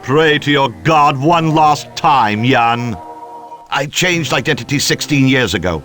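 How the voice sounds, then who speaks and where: An actor playing a smug, traitorous cultist in a video game, mocking and declamatory. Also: male voice, medium pitch, expressive, a little slow, a bit bright, faint music behind. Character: gloating traitorous male cultist, smug villain. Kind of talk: video game villain acting.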